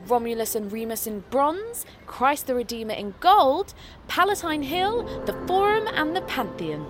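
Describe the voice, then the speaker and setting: A woman's voice: high-pitched, big pitch swings, normal speed, music in the background. A woman acting an earnest, eager tourist in an audio drama. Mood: excited. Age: adult. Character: earnest, eager woman sightseeing with her partner.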